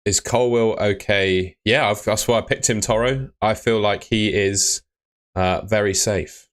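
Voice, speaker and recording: Young male streamer, low voice, relaxed and chatty, reading viewer comments. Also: a little slow. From Bristol, England. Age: young adult. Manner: relaxed, chatty, answers chat questions. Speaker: fantasy football streamer. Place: small room indoors.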